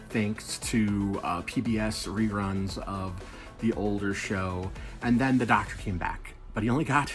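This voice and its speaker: A man's voice: fairly low pitch, fairly bright tone. A middle-aged man giving an enthusiastic, conversational, casual review.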